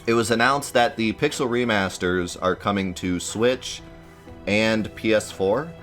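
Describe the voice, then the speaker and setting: A man's voice: on the low side, a little slow, a bit bright, soft background music. Congested man rambling about games. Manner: hesitant, rambling, lots of fillers, gripes. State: congested, a little nasal from a cold. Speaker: let's play host. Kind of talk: casual gaming commentary to viewers.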